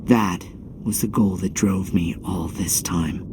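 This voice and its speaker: An actor playing an earnest young hero in a game cutscene, reflective and heartfelt, with dramatic pauses. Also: male voice, deep voice, fairly even intonation, heavy background noise. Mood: wistful, sorrowful. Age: young adult. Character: earnest young male hero, determined and wistful. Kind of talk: scripted game cutscene acting.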